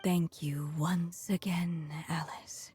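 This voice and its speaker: Game role: dying motherly storyteller, soft, solemn, lowish, unhurried. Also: steady tone. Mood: weak, fond. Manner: tender, solemn, soft-spoken. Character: gentle dying woman storyteller, tender and motherly. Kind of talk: video game voice acting. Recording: loud music under the voice.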